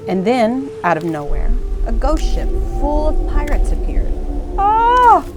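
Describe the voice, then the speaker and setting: A woman reading a picture book aloud as an expressive storyteller. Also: fairly high pitch, unhurried, over loud music.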